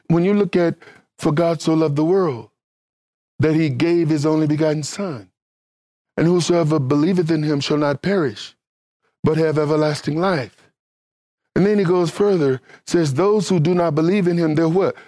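A male preacher with a notably high voice, delivering a sermon in fervent waves that climb and then drop back down. His talk stays casual and conversational, and the sound is close and dry with no room echo. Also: a little mellow. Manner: fervent, rising and falling preaching cadence.

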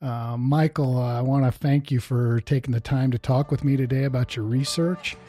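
A man's voice: mid-range voice, steady tone, moderate pace, dark tone, soft background music. An American man hosting a casual interview, with an easygoing, folksy way of asking questions. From Missouri, United States. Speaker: interviewer, host.

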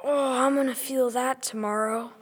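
A woman's voice: high voice, fairly even intonation, moderate pace, breathy edge. A woman playing an easygoing, food-loving young boy in a children's audio drama, speaking in a casual, chummy way. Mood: sheepish.

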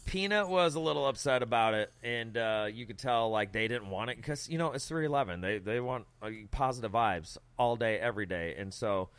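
A man's voice: medium pitch, expressive, fairly quick, hardly any pauses, a bit bright, smooth, no room sound. A man co-hosting a podcast, telling music anecdotes in a slangy, conversational way. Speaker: podcast co-host with past interviewing experience. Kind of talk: casual banter and music anecdotes.

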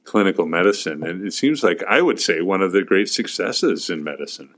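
Low-voiced male host, relaxed interviewer with loose phrasing. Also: fairly quick. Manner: easygoing, leading questions, frequent fillers. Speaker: podcast host. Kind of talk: interviewing a guest.

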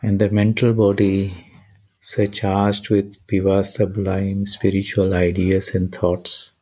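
A man leading a guided meditation and prayer in measured, deliberate short phrases with pauses between them. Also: low voice, completely flat delivery, darkish tone, breathy edge, rough recording.

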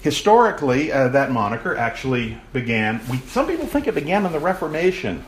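A man's voice: fairly high pitch, sing-song, brisk, slight background noise. Man lecturing a room on church history. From raised in a Baptist family. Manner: projecting, asks the audience questions. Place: room with an audience.

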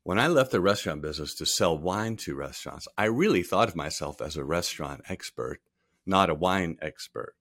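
A man in his sixties from Rhode Island, a podcast guest recalling his career in a relaxed, storytelling way, often trailing off softly at the ends of phrases. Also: sits low, fairly quick, no room sound, clean studio sound. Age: 60s. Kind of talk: casual interview.

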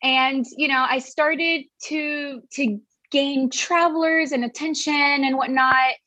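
Woman, 30s, from Venezuela, physical therapist guest, video call, chatty, fillers, highish pitch, dry. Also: steady tone, takes it slowly, pushed and bright, dry recording. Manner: chatty, many fillers and restarts. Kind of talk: casual video-call interview.

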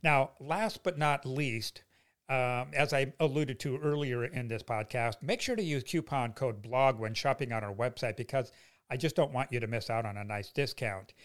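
A man's voice: mid-range voice, normal speed. A middle-aged man with an American accent hosting a podcast, explaining products in a conversational, folksy, salesy way. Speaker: podcast host, company founder.

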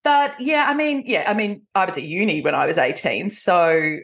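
A New Zealand woman in her forties talks as a podcast guest, with a slightly low-pitched voice. She tells personal stories in a chatty, animated, conversational way. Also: moderate pace. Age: 40s. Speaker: podcast guest, recovery coach.

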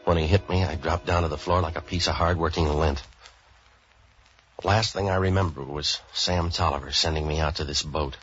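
An actor playing a wisecracking, street-wise boat-for-hire man in 1940s radio style, clipped, sardonic and deadpan, both in narration and dialogue. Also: a bass voice, brisk, soft background music. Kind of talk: 1940s hard-boiled radio acting, first-person narration. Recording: dull old 1940s radio recording.

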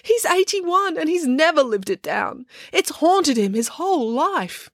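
A woman's voice: high-pitched, sing-song, normal speed, a bit bright, no room sound, studio quality, pitched above the performer's own voice. A woman voicing a tearful schoolgirl caught cheating, pleading and wailing.

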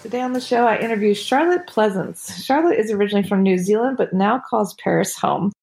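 A woman's voice: mid-range voice, expressive, a little fast, creaky, a little mellow, husky, faint music behind, low-quality recording. An American woman hosting and interviewing on a podcast in a casual, chatty style. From United States.

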